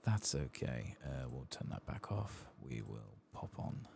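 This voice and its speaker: A man giving soft-spoken ASMR commentary over a video game, often slipping into whispers. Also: a bass voice, very animated, measured pace, gravelly, background noise. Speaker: host of an ASMR game playthrough.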